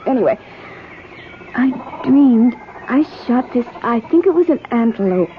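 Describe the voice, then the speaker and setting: Volatile feverish wife, old radio drama. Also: female voice, on the high side, animated, unhurried. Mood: dreamy, coaxing. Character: feverish, ravenous wife, craving raw meat, childlike and volatile. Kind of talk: vintage radio drama acting. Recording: dull, noisy old radio broadcast recording.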